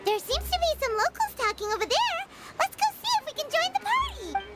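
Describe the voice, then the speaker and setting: A woman's voice: very high-pitched, big pitch swings, fast talker. A woman voicing a tiny, curious, chirpy fairy companion girl in a video game. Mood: curious, eager. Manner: speaks of herself in third person, exclaiming. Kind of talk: scripted video game dialogue. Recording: loud background music.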